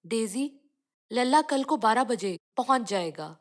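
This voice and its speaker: A woman's voice: on the high side, lively intonation, a little slow. A woman voicing a blunt, challenging village man in a children's cartoon story.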